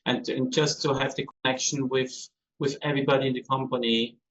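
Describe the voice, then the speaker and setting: A man's voice: mid-range voice, little pitch movement, a little fast, a bit bright. Austrian-raised man in his fifties, chatty guest on a remote call, slightly dull audio with faint noise. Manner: chatty, with some non-native phrasing. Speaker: hotel general manager on a cruise ship. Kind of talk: casual interview answers. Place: on board a ship, remote call.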